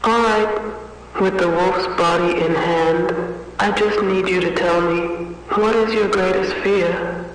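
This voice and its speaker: A woman playing a cold witch in an analog horror fairytale, speaking in a measured, emotionless, ominous way. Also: lowish voice, fairly even intonation. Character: cold, bargaining witch telling a dark fairytale. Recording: very echoey, distant, faintly noisy reverb.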